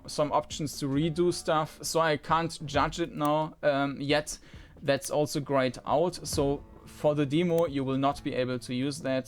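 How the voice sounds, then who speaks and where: A man giving unscripted commentary on a game, thinking aloud in a hesitant way. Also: medium pitch. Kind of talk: unscripted preview commentary over gameplay. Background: game music.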